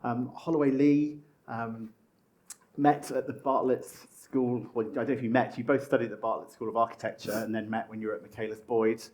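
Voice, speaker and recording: A man's voice: mid-range voice, steady tone, brisk, a little mellow. A man, a magazine editor hosting a design panel, chatty and full of fillers. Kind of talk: moderating a panel. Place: stage at a design event.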